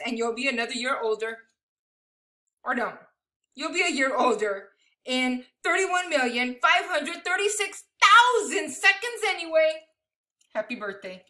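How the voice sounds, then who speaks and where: A woman reads a children's book aloud in a high, very lively voice. Her delivery is expressive and bright, and she breaks off for chatty asides to her young listeners. The sound has the echo of a room at home. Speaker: elementary school teacher reading a picture book aloud. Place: living room at home, indoors.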